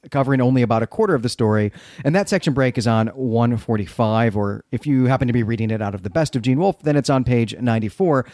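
A man co-hosting a literary podcast, speaking articulately in measured, discursive sentences. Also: mid-range voice, a little mellow, no room sound, studio quality. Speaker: podcast co-host.

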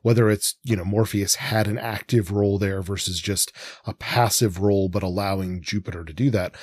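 A man's voice: fairly low pitch, steady tone, moderate pace, darkish tone, husky, dry recording. A man co-hosting a podcast, discussing literature in a discursive way, thinking aloud as he goes. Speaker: podcast co-host. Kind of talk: literary discussion of comics and mythology.

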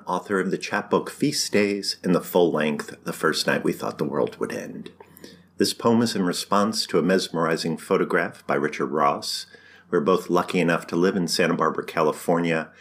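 A man's voice: rumbling low, fairly bright tone. A man who is a poet, introducing and reading his poem aloud. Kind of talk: poetry reading with spoken introduction.

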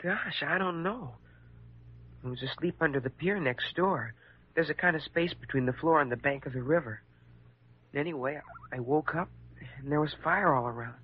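An actor with an American accent playing a defensive, pleading injured schoolboy in an old-time radio drama, speaking in hesitant boyish slang. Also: male voice, on the high side, moderate pace, breathy edge. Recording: muffled vintage radio recording.

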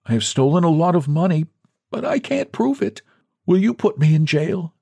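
A man's voice: high voice, expressive, moderate pace, gravelly, voice pushed up, full character voice. A man voicing a desperate, timid, haggard man with a pleading, wailing manner in a story reading.

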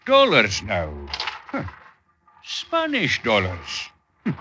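An actor playing a brusque, commanding customs surveyor with archaic, blustery speech in an old-time radio drama. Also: male voice, medium pitch, wildly swooping pitch, slow, bright, forceful tone, heavily acted. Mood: suspicious, commanding. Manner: blustery, archaic, questioning with gruff "eh". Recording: old radio recording with noise.

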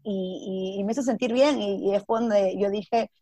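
A woman's voice: mid-range voice, a little slow, warm tone, no echo. Peruvian woman telling personal stories, dry close sound. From Peru. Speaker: interview guest. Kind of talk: casual personal storytelling with some English words mixed in.

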